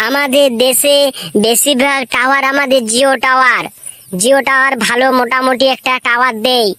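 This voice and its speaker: High-pitched, declamatory cartoon schoolboy voiced by an actor. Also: a bit bright, dry recording, studio quality. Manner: repetitive, declamatory. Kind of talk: comic cartoon speech with chanted slogans.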